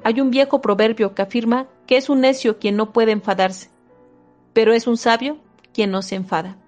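A woman giving a steady, measured reading of a self-help book, with a few small slips and restarts. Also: on the high side, soft background music. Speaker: audiobook reader.

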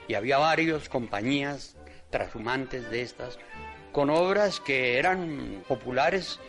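An older man giving reflective interview commentary in halting, measured phrases. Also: medium pitch, a bit bright, soft background music. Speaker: interviewee commenting on theatre history.

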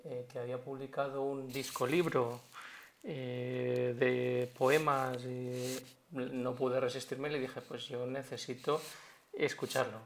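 A man hosts a podcast interview in a casual, halting way, repeating words as he goes. His voice sits at a middling pitch, with a faint noise underneath. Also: flat delivery. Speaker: podcast host.

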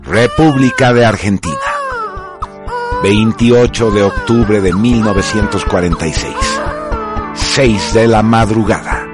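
A male narrator with a low voice delivers scripted radio drama narration over loud music. His manner is solemn and measured, with a literary, dramatic weight.

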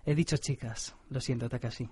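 A man's voice: mid-range voice, fairly even intonation, a little fast, darkish tone, husky. A man playing cocky anime heartthrob Nobu. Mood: suave. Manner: smug, suave, self-important. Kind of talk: improvised manga-parody radio comedy acting.

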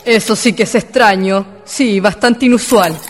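A man's voice: high-pitched, unhurried, faint music behind. An actor in a community radio drama playing a polite male guest who agrees with his host, with a Chilean accent.

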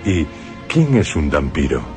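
A man with a Spain Spanish accent, host of a radio program, narrating a script in measured phrases with dramatic pauses. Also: a bass voice, very animated, darkish tone, soft background music.

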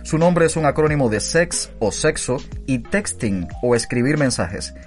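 A man's voice: medium pitch, lively intonation, measured pace, faint music behind. A man with a Cuban accent, speaking as a podcast co-host and announcer. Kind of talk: podcast talk and spot narration.

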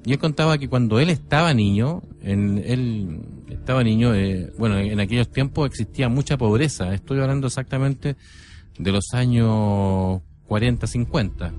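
A man with a Chilean accent telling stories unscripted on radio in a halting, deliberate way, repeating phrases. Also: on the low side, a little mellow. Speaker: radio co-host and storyteller.

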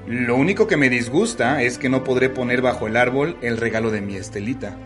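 Actor as devoted Mexican husband, radio melodrama, formal affectionate delivery, mid-range voice, light music behind. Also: male voice, medium pitch, fairly quick, natural voice. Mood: pleased. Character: devoted, tender husband, well-off gentleman. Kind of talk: radio soap opera acting.

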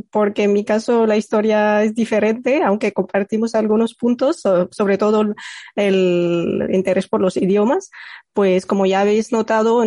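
A woman's voice: medium pitch, dark tone, no room sound, clean studio sound. Egyptian-born woman, hesitant speech. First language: Arabic. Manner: hesitant, many fillers and restarts. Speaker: computational linguist guest. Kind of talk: conversational podcast interview.

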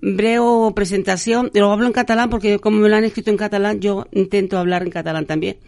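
Gravelly-voiced woman radio host. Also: medium pitch, steady tone, a little mellow. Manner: chatty, halting, with frequent restarts and fillers. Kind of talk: live radio announcements.

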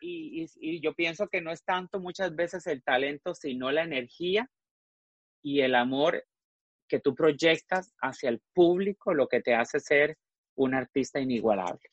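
A man's voice: fairly high pitch, measured pace, no echo, dull audio. A man in his forties from Costa Rica, a guest frankly telling his personal story, often trailing off and restarting. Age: 40s.

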